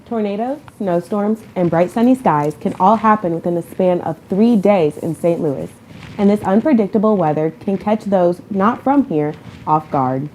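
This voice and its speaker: A woman's voice: mid-range voice, normal speed, dark tone, slight background noise, poor audio. A woman reporting, opening her news segment with scripted lines.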